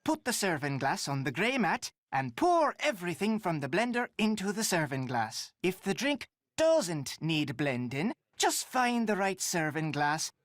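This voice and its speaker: An actor playing a brisk, folksy Irish barkeep in a video game, giving polite instructions. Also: male voice, sits high, very animated, a bit bright, no echo, clean studio sound, exaggerated voice. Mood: brisk, instructive. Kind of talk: video game character dialogue.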